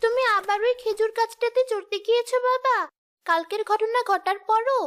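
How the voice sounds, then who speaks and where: A woman's voice: very high-pitched, steady tone, moderate pace, fairly bright tone. A woman voicing a kind, dutiful young village daughter in a cartoon, with faint crickets behind. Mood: concerned. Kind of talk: dubbed cartoon dialogue.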